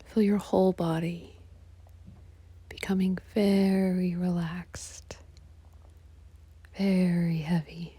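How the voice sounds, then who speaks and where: A woman guiding a relaxation meditation in a soft, breathy, half-whispered, soothing delivery. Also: fairly low pitch, level tone, takes it slowly, pauses often, no echo. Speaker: yoga nidra meditation guide.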